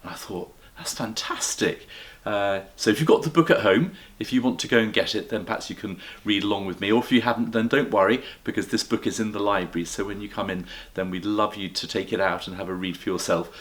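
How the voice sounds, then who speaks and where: A man's voice: fairly low pitch, fast, few pauses. A man, a headmaster, reading a children's story expressively and addressing the listeners directly.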